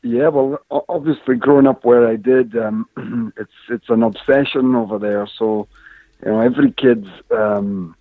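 A man's voice: medium pitch, steady tone, normal speed, no room sound. A man from Scotland, raised in northern England, recalling his football youth as an interview guest, talking hesitantly with many fillers and restarts. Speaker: guest, former football player. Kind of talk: casual interview, storytelling. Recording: dry phone-line audio.

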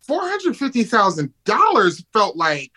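A man in his forties chatting as a radio co-host, easygoing and full of slang. His voice is bright and animated, with pitch moving freely as he thinks out loud. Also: a little slow. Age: 40s. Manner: laid-back, musing, streetwise slang. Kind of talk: casual talk radio banter.

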